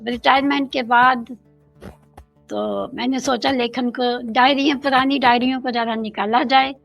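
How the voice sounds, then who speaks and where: An older woman from India, a guest in an interview, speaking in a slow, thoughtful way and mixing in English phrases. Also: a little high, steady tone, creaky, muffled recording, soft background music.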